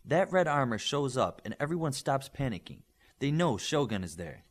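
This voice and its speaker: A man's voice: mid-range voice, big pitch swings. Stern, emphatic male superhero instructor, read by a narrator. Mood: instructive. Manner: emphatic, didactic, measured lecturing with rhetorical questions. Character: stern, principled male instructor lecturing young superhero trainees. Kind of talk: audiobook character dialogue.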